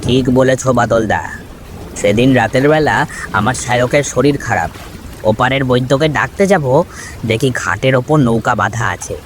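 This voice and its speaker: An uneasy, superstitious village man acted by a man in a story drama. He confides in everyday colloquial talk at a medium pitch, with clear rain and water noise behind. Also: normal speed, lightly acted. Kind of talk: audio story dialogue acting.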